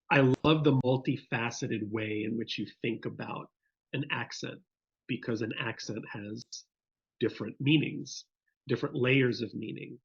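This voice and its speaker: A man's voice: mid-range voice, gravelly, slightly rough, dull audio. A man from the Bronx answering interview questions thoughtfully, often restarting his sentences. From Bronx, New York. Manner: thoughtful, with frequent restarts and fillers. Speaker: podcast guest.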